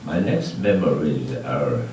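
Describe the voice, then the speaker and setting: Very deep-voiced hundred-year-old man, slow and halting. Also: animated. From Egypt. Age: 100. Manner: halting, searching for words. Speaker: honoree at a birthday tribute. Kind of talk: unscripted personal reminiscence to an audience. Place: large echoey room with an audience. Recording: echoey room, distant mic, background noise.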